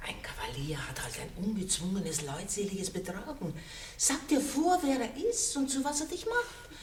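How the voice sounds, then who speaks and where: A woman's voice: mid-range voice, sing-song, moderate pace, natural voice. An actress playing a fussy, status-struck chaperone in a radio play, scolding her charge insistently. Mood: reproving. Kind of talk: 1960s radio play acting. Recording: roomy, distant, hissy old recording.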